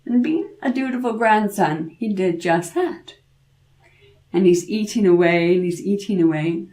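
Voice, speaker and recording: A woman telling a folk tale aloud in an informal, expressive way, with dramatic pauses and repetition. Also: medium pitch. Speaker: storyteller, podcast host.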